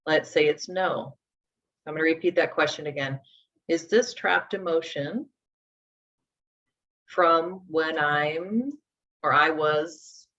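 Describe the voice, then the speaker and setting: A woman's voice: low-pitched, a bit bright. A woman in her forties teaching a live workshop, conversational as she talks through each step aloud. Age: 40s. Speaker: instructor. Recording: dull lo-fi sound.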